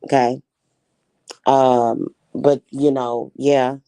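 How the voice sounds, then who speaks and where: A woman in her forties from Kansas City, with a Midwestern accent and a mellow, warm tone. She chats with viewers in a loose, slangy, outspoken way and often rambles on. The sound is dry and close, with little room reverb. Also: extremely low pitch, flat delivery, extremely slow, clear, resonant tone. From Kansas City, Missouri. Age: 40s. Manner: rambling, slangy, frank, answers viewers directly. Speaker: livestream host reading chat. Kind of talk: casual chat.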